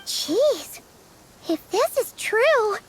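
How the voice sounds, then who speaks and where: Squeaky, extremely high-pitched little companion. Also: female voice, big pitch swings, extremely slow, a little hiss or hum, heavily acted. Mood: alarmed, earnest. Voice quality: squeaky, childlike. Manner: exclamatory, earnest, slangy. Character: tiny excitable childlike companion, earnest and chirpy. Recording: loud music behind.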